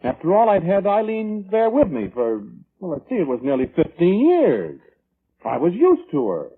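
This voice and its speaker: A man's voice: high voice, huge pitch swings, normal speed, husky, exaggerated voice. An actor playing a selfish, self-justifying man in an old-time radio drama, defensive and colloquial in manner. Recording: muffled vintage radio recording.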